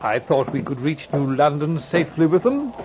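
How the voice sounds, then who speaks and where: A formal, courteous sea captain in a vintage radio play. His voice is fairly high and moves expressively, with deliberate politeness. The sound is muffled. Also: sing-song. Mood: matter-of-fact. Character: courteous, matter-of-fact male ship's captain presiding over grim discovery. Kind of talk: old-time radio drama acting. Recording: muffled, very noisy old radio recording.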